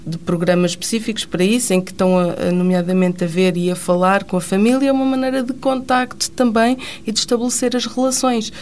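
A woman's voice: mid-range voice, fairly even intonation, fast talker, hardly any pauses, a little mellow, a little hiss or hum. A woman, a guest on a radio talk show, explaining things earnestly.

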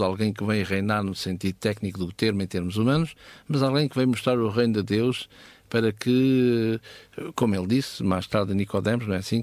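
A man with a European Portuguese accent expounding scripture conversationally as a Bible teacher, halting, with pauses and frequent check questions. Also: lowish voice, a little mellow, no echo.

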